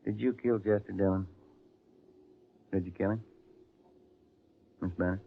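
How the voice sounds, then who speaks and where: An actor playing a measured, firm police sergeant who questions a suspect in a 1950s radio crime drama. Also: male voice, low voice, steady tone, gravelly. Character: quiet, steady police sergeant partner, male adult. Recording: narrow phone-like old radio transcription, faint hiss.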